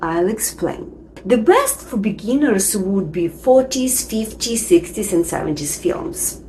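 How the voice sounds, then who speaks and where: A woman teaching English as a second language, narrating a scripted lesson in a clear, deliberate way. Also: mid-range voice, sing-song, dark tone, slight background noise, poor audio. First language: not English.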